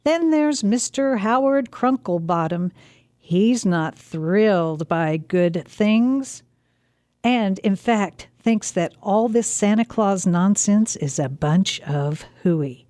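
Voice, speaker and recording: A woman's voice: medium pitch, lively intonation, takes it slowly, a little mellow, no room sound, studio quality. A woman announcer reading a scripted introduction to a family radio play.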